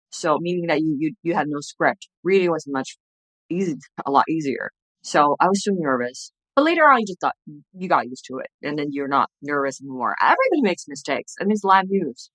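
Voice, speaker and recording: A woman's voice: low-pitched, fairly bright tone, dry recording, rough recording. A woman in her thirties who grew up speaking Chinese, talking with a Chinese accent as an interview guest on a video call, earnest and reflective with frequent restarts. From China. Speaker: guest, entrepreneur and former TV journalist. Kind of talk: casual interview conversation. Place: home room, indoors. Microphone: video call, webcam at desk distance.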